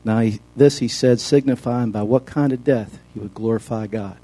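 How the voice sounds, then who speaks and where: A man's voice: mid-range voice, normal speed, mellow. A man taking part in a Bible study, sharing a thought conversationally and quoting scripture.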